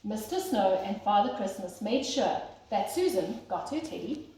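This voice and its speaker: A woman's voice: medium pitch, lively intonation, moderate pace, mellow. A woman teacher reading a children's storybook aloud expressively, as if to young listeners. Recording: very echoey room, faint noise.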